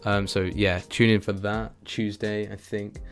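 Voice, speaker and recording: A young man with a deep voice hosts a casual podcast. His intonation stays level and laid-back, with soft guitar music playing behind him. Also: flat delivery, measured pace. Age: young adult. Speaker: podcast host. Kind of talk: casual podcast interview chat. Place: small dim room at home. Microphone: close desk microphone with headset.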